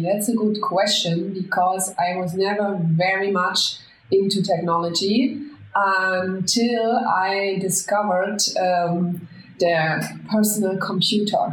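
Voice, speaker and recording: A woman with a German accent speaks as a guest in a casual, conversational interview. Her voice sits at a middle pitch. The room rings noticeably around her, with faint noise underneath. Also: echoey room, low-quality recording. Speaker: interview guest, marketing manager.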